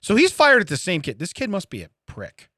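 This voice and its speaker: A man's voice: high-pitched, wildly swooping pitch, fairly quick, sharp tone, breathy edge, no room sound, clean studio sound. A man co-hosting a comedy podcast, reading out a news story and riffing on it with jokey, wry asides in casual chat. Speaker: podcast co-host.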